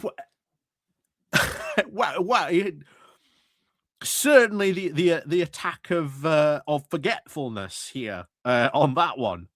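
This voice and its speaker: A man's voice: fairly high pitch, expressive, takes it slowly, a bit bright, dry recording, clean studio sound. A man doing live reaction commentary over council footage, sarcastic and laughing at what he hears.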